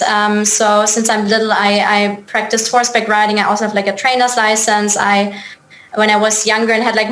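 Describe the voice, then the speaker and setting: A woman from Germany with a German accent, speaking as an interview guest and company chief executive in a casual, somewhat halting way. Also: on the high side, a bit bright. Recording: echoey room, faint background noise.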